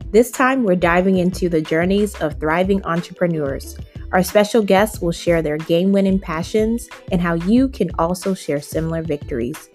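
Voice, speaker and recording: A woman's voice: on the low side, moderate pace, a little mellow, faint music behind. A woman hosting a podcast, welcoming listeners and introducing her guest in an upbeat way. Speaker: podcast host. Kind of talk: podcast interview.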